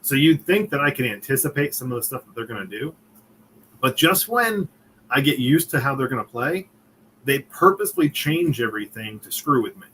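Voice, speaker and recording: Bright-voiced man telling a story. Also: mid-range voice, animated, moderate pace, slight background noise, low-quality recording. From Connecticut. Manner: storytelling, chuckling, acting out quotes. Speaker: comic creator guest. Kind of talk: casual interview chat.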